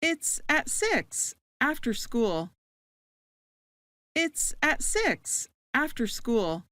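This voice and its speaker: A woman playing a friendly new student in a slow scripted dialogue for English learners, saying each line twice. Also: sits high, big pitch swings, bright, forceful tone, dry recording, heavily acted.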